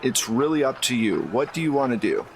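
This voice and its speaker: A man's voice: mid-range voice, lively intonation, fairly quick, rough recording. A man narrating an improvised tabletop game as game master, with a playful, teasing way of talking. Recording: faint background noise.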